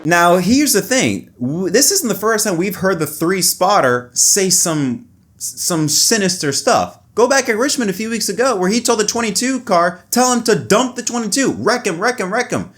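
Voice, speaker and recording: A man's voice: high voice, expressive, moderate pace, fairly bright tone. Male host, opinionated motorsport analysis, emphatic and repetitive phrasing. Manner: emphatic, repeats words and phrases. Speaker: motorsport commentary video host. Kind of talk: opinionated race analysis commentary.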